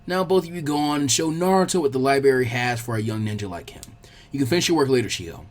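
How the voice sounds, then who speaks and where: Middle-aged male librarian, read by a man, calm, measured, gently stern, audiobook fiction. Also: medium pitch, natural voice. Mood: kindly. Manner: measured, mildly chiding. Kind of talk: fan fiction audiobook character voice.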